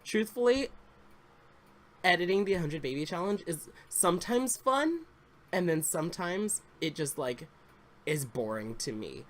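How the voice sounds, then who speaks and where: A man in his twenties chatting casually, a bit congested and slightly raspy, rambling with fillers and restarts. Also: a little high, expressive, unhurried, fairly bright tone. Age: 20s. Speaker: Sims YouTuber. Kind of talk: casual story time chat.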